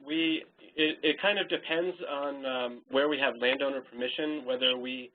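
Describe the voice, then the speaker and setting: A man co-presenting a webinar, answering a question haltingly with many fillers. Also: medium pitch, steady tone. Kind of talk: unscripted Q&A answer. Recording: tinny phone-line audio, faint hiss.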